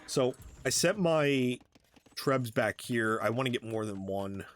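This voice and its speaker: A man giving casual, halting commentary on his own strategy game match, thinking aloud as he plays. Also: medium pitch, animated. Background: background music.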